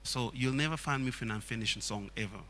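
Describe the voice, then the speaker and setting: A man from Congo speaks English as a later language, earnest and thoughtful, filling pauses with "you know" and "like." His voice is bright and forward at a middling pitch. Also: slight background noise. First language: Swahili. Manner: earnest, reflective, many fillers. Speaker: songwriter. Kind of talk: casual panel talk.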